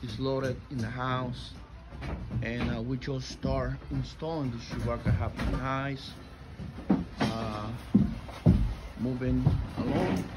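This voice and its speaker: A man talking casually through a drywall job-site walkthrough, plain and halting, filming on his phone inside a house under construction. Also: mid-range voice, fairly even intonation, very noisy. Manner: plain, halting with fillers and restarts. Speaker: drywall contractor.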